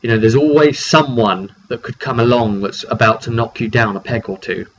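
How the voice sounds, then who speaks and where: A man with a mid-pitched voice and a cold tells the story of his tabletop game as its game master. He speaks casually in short, halting phrases and clears his throat now and then. The recording is muffled and lo-fi. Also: fairly bright tone. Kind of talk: casual recap storytelling to camera. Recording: dull lo-fi makeshift mic, clearly noisy.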